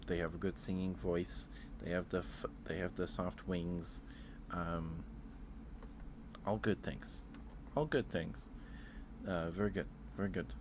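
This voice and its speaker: A man streaming casual ASMR chat, talking haltingly, often repeating words and trailing off. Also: low voice, background noise. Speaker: streamer hosting a ranking.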